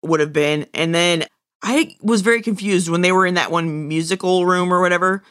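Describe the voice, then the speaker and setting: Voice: mid-range voice, normal speed, a bit bright, clean tone, dry recording. Wry, casual podcaster with a dry sound. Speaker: podcast co-host. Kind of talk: casual fan discussion chat.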